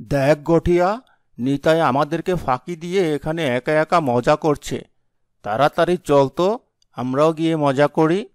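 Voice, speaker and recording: A man playing an idle, easily frightened young villager in a cartoon fairy tale, exclaiming in a drawn-out way. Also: on the high side, clean tone, no room sound, studio quality, lightly acted. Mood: eager, resentful.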